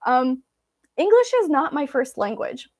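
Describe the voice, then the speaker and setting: Woman, high voice, native Russian speaker, from Belarus, chatty, informal, live vlog host. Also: very animated, measured pace, darkish tone. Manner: chatty, informal, addressing viewers directly. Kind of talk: casual live Q&A chat.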